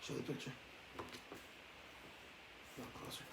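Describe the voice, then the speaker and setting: A man reading a bedtime story aloud, dropping in casual asides as he goes. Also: slow. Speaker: storybook reader. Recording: echoey room with faint background noise.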